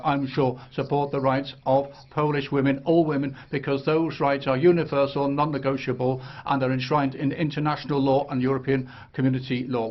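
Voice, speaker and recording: Firm man addressing parliament. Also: medium pitch. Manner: firm, emphatic. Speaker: parliament speaker. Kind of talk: formal parliamentary speech. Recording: dull broadcast feed.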